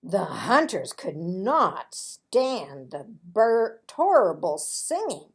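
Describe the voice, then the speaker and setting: A woman reading a children's story aloud expressively, with a playful, dramatic delivery and pauses. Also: mid-range voice, very animated, breathy edge. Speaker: storybook read-aloud host.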